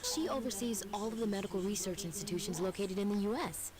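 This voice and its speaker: A woman's voice: medium pitch, fast talker, a crowd in the background, close to an everyday voice. A woman playing an earnest young surgeon. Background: game music.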